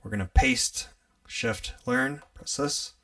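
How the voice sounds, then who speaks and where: A young man with an upstate New York accent, hosting a casual live tutorial on music gear, chatty and relaxed, laughing often as he works. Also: medium pitch, slow, vocal fry, far from the mic. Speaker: livestream host teaching music gear.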